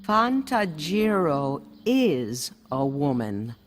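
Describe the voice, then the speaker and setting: A woman playing a suspicious older queen mother in a storybook, with an American accent and a deliberate, instructing manner. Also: low-pitched, big pitch swings, a little hiss or hum, full character voice. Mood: scheming, instructing. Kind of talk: storybook dialogue.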